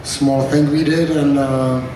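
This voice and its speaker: A man's voice: fairly high pitch, level tone, unhurried, over a noisy crowd. A man casually explaining something at a press conference as part of a singer's team. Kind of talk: casual anecdote. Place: echoey indoor press conference room. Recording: very echoey, distant, noisy room.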